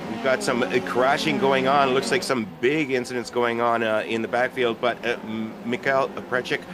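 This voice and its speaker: A man giving measured color commentary on a sim race, reading grid positions and pausing with "uh". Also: mid-range voice, level tone. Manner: measured, matter-of-fact, with frequent "uh" pauses. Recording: noticeable background noise.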